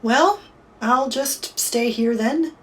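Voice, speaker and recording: An actress playing a discontented, sardonic housewife and musician in an audio drama, with a wry delivery. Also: female voice, high voice, slow pace. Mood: hurt, exasperated.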